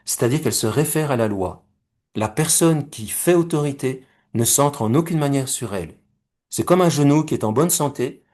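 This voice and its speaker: A middle-aged man from Belgium and Rwanda giving a recorded talk, measured and explanatory, with homely comparisons and questions to the listeners. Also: medium pitch, a little mellow. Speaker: speaker presenting his conflict-resolution method.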